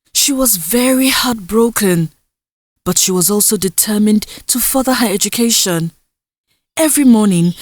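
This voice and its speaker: A woman's voice: medium pitch, a little hiss or hum. A woman reading scripted folktale narration as a storyteller.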